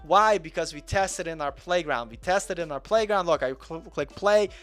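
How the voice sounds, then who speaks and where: A man's voice: a little high, normal speed, a bit bright, soft background music. A young man from Russia giving a casual, rambling live coding walkthrough as the show's host, chatty and self-deprecating. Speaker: coding tutor.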